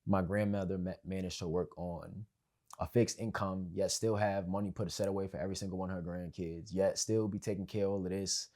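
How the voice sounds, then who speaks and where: A man's voice: deep voice, fairly even intonation, a little fast, a little mellow. A young man on a podcast, talking casually and rambling, with many fillers and restarts. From family of West Indian background. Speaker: podcast guest.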